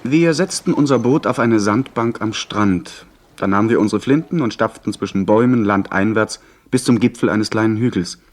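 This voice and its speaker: An earnest teller of a seafaring tale, played by a man. His voice sits a little low, and he delivers scripted radio play narration. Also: normal speed, natural voice. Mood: measured. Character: earnest male narrator recounting his sea adventure.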